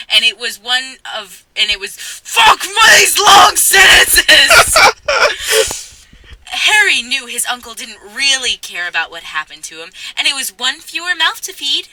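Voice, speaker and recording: A woman's voice: fairly high pitch, animated, a little slow, pushed and bright, poor audio. A woman narrating a comic dramatic story reading in a playful, mock-dramatic way, often stumbling over her lines.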